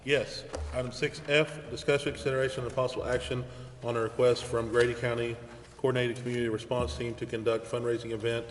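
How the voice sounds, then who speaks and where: A man's voice: mid-range voice, little pitch movement, fast. A man chairing a city council meeting, formally reading out agenda items and procedure. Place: large indoor council chamber. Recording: echoey hall, audible reverb, faint noise.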